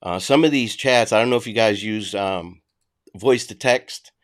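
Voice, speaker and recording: A middle-aged man with a Boston accent talks through a casual livestream, answering viewers' chat. His voice sits on the low side, and he speaks bluntly and conversationally, often restarting his sentences. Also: normal speed. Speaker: livestream host reading chat.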